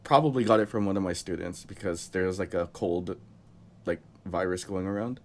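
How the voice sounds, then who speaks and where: A young man with a very low, deep voice co-hosts a relaxed podcast chat. He has a cold and rambles along, chuckling often. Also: normal speed. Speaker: podcast co-host.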